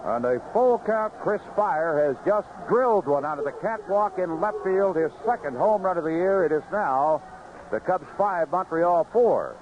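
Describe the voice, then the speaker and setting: American baseball announcer on noisy vintage radio. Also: male voice, high voice, moderate pace, lo-fi sound. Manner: animated calls rising sharply on big plays, clipped phrases. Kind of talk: live radio baseball play-by-play. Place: ballpark broadcast booth.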